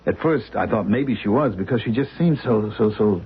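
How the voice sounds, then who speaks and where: An actor playing an anxious husband who stammers and repeats himself, in a radio drama. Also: male voice, on the low side, animated, normal speed, slight background noise, close to an everyday voice. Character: anxious middle-aged man, husband, frightened and pleading. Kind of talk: 1970s radio drama acting. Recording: muffled lo-fi old radio recording.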